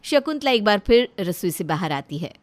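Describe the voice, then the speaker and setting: A woman's voice: mid-range voice, sing-song, normal speed. A woman narrating a scripted family story.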